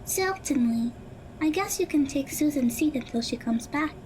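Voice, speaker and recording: Very high, earnest schoolgirl played by a woman. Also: lively intonation, normal speed, background noise. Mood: encouraging, helpful. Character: earnest, studious high school girl, encouraging tutor. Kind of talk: audio drama acting.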